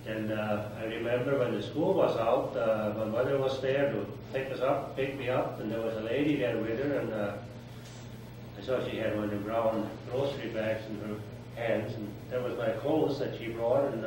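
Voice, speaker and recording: An older man from Switzerland recalls his past in a plain, halting manner, pausing often. He sits well back from the microphone. The room is very echoey, and there is steady background noise. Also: medium pitch. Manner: plain, halting storytelling with many pauses. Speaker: interviewee. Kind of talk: oral history interview. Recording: echoey room, distant mic, noisy.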